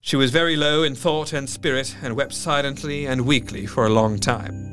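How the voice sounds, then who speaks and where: An actor playing a careworn Victorian doctor, narrating his diary with formal, measured diction in a gothic audio drama. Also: mid-range voice, fairly even intonation, a bit bright, close to an everyday voice. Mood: somber. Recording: faint music behind. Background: music.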